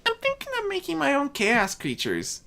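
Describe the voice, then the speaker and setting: Woman voicing a high, swooping young male chaos trickster. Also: wildly swooping pitch, moderate pace, gravelly, fairly bright tone, heavily acted. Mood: musing. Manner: grumbling, offhand bragging. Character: mischievous boastful young male chaos spirit, son of a prince. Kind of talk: fan fiction story reading.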